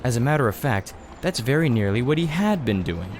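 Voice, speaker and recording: A man narrates a children's storybook as an audiobook reader. His delivery is expressive and lively in pitch, at a middle register, with faint noise in the background. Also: a little fast.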